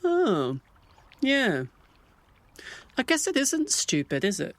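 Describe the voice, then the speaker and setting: A man's voice: very high-pitched, wildly swooping pitch, slow, a bit bright, full character voice. A man voicing an insecure, self-deprecating swan in an audio drama, hesitant and awkward in speech. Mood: nervous, awkward.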